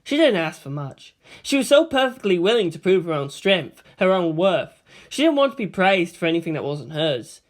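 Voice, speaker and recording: A woman reading a story aloud as narrator, in an expressive, dramatic style. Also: lowish voice, moderate pace.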